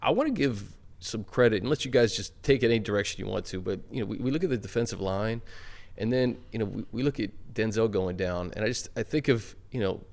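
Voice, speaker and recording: Male sports show host, slightly low pitch, loose conversational delivery. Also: fast, vocal fry, darkish tone. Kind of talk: casual sports discussion.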